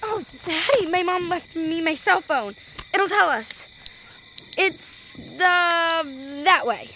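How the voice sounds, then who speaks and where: A woman's voice: very high voice, animated, takes it slowly, pushed and bright, slight background noise, heavily acted. A woman playing a curious, bewildered ten-year-old girl in an audio drama, wide-eyed and questioning. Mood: puzzled. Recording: music under the voice.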